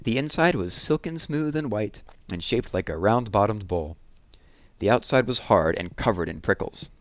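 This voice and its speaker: A man with a fairly low voice reads a children's story aloud, his pitch rising and falling a lot. He tells it gently and expressively, and the recording is dry and close. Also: animated, normal speed, no room sound.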